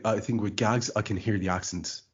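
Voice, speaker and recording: A man's voice: fairly low pitch, steady tone, fairly quick, husky. A man with a mild Irish accent hosting a casual podcast chat, often stuttering over repeated words. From Ireland. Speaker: podcast host. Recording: dull lo-fi podcast audio.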